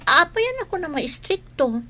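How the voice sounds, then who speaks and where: A woman's voice: very high voice, big pitch swings, normal speed, pushed and bright, faint music behind, heavily acted. A woman playing a young student grandchild in a radio drama, eager yet questioning, with a Filipino accent. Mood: earnest.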